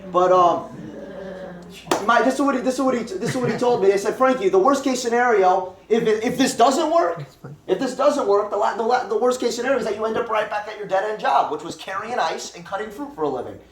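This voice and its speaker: Guy in his 20s hyping people up at a house meeting, high voice, echoey room, far from the mic. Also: animated. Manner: joking, fast and repetitive pep talk. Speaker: network marketing presenter. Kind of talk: motivational talk to a home meeting. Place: packed living room.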